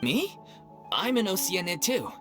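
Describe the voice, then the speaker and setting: A man's voice: high-pitched, very animated, unhurried, loud music behind the voice. A man playing an easygoing, sincere stage actor loyal to his troupe, in a video game.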